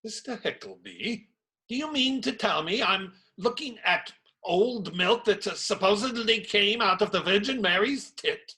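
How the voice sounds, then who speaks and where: An actor playing a cynical, blunt and irreverent priest in a radio play, dry and sardonic with hesitations. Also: male voice, sits high, expressive, unhurried, pushed and bright, full character voice. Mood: incredulous.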